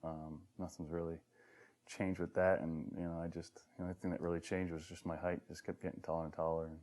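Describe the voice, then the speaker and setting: A man with a deep voice that tends to hoarseness, talking haltingly with many fillers about growing up. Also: level tone, a little mellow. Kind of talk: personal interview recollection.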